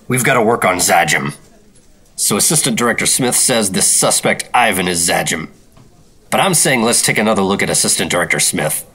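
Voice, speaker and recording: Radio-play lead: firm American government agent, 1950s, crisp bright tone, authoritative, measured delivery. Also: male voice, low voice, heavily acted. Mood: brisk, businesslike. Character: firm, dutiful 1950s government secret operative. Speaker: lead actor and narrator. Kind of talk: 1950s radio drama acting and narration.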